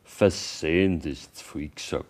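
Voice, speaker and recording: Grudging young Bavarian farmer's son. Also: male voice, very deep voice, fairly even intonation, slow, a little mellow. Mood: grudging, subdued. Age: young adult. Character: reluctant, reproachful grown son of a farmer. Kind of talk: naturalistic German radio play acting.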